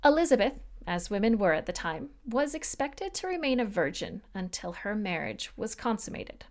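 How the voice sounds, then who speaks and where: A woman host narrates history from a script at a middle pitch, delivering it evenly. She slips in wry, dry asides to the listener as she goes. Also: very animated, normal speed. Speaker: podcast host.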